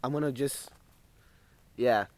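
A man's voice: mid-range voice, takes it slowly, darkish tone. A young man teasing and goofing around with a friend at a night campfire outdoors. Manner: goofy, teasing, sing-song bits. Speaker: camping friend. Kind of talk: casual joking chat. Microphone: handheld camera nearby. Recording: outdoor handheld camera with faint noise.

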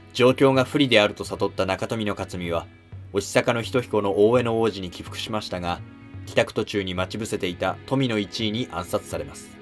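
A man reading scripted history narration as the narrator of a drama. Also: fairly low pitch.

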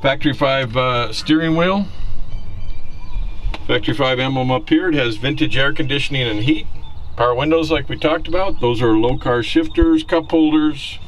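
A man's voice: medium pitch, normal speed, slight background noise. Easygoing man showing off a car. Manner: easygoing, steady listing of features with fillers. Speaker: car dealer giving a walkaround. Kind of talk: casual walkaround car presentation. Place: outdoors in a park parking lot.

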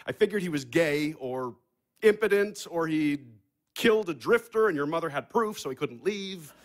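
Man acting American money manager, slightly high voice, dry, blunt, needling, stage drama. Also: animated, normal speed, close to an everyday voice. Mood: wry, frank. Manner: dry, needling, matter-of-fact. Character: blunt, sardonic money manager, adopted son. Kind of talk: naturalistic stage-play acting.

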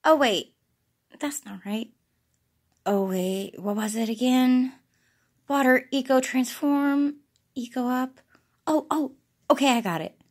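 A woman voicing an excitable, clumsy young cartoon heroine with magic powers, in a theatrical amateur audition style. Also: sits high, takes it slowly, long pauses, pitched below the performer's own voice. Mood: confused, flustered.

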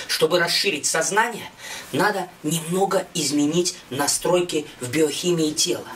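A middle-aged man narrating his own scripted story in a dramatic, emphatic, theatrical way. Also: fairly high pitch. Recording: music behind a slightly distant voice.

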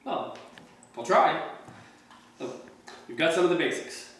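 A man's voice: fairly high pitch, big pitch swings, measured pace, fairly bright tone. A middle-aged man reading the part of a kindly, encouraging American tourist in his forties in a staged play reading. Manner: casual, reassuring. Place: wood-paneled mansion room. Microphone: distant camera microphone. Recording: very echoey room, distant mic, faint noise.

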